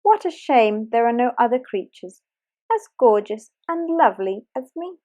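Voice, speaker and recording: A preening, self-adoring angelfish from a storybook, as a woman reading aloud puts her on. She drawls her words in a soft, lilting, animated voice. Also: fairly high pitch, moderate pace, dull audio. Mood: vain. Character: vain, preening female angelfish.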